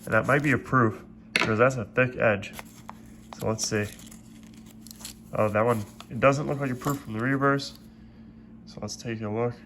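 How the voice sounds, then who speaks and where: A man with a stuffed-up, congested voice hosting a coin roll hunting video, giving steady, methodical play-by-play from his desk. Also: medium pitch, normal speed, pauses often, slight background noise. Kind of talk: casual hands-on commentary. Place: at a desk indoors.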